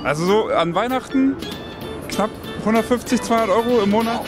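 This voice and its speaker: A man's voice: very high-pitched, a little fast. A man in his thirties chatting casually in an interview on a handheld mic. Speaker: festival visitor being interviewed. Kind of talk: casual chat. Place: large exhibition hall. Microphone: handheld reporter microphone. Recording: music laid over the voice.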